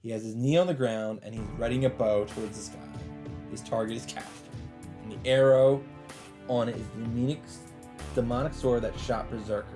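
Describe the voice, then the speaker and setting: A man's voice: medium pitch, fairly even intonation, a little fast. A man reading a visual novel aloud as a let's play host, in dramatic scripted narration. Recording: loud game music behind voice. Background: visual novel soundtrack.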